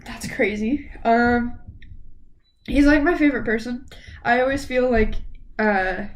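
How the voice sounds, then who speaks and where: A woman's voice: a little high, a little slow. A woman in her late teens chatting casually to camera as a vlogger, rambling and gushing, a bit sniffly from a cold. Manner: rambling, gushing fan talk.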